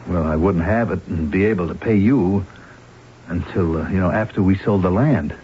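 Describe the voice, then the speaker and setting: An actor playing a desperate, weak-willed gambling husband in a 1970s radio drama, pleading, hesitant and agitated. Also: male voice, low voice, normal speed, lightly acted. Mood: nervous, scheming. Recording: dull, noisy old radio recording.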